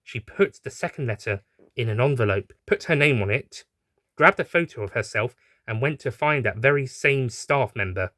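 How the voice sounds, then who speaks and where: A man's voice: mid-range voice, lively intonation, normal speed, bright, forceful tone, dry recording, clean studio sound. A man reading a scripted adventure story as its narrator.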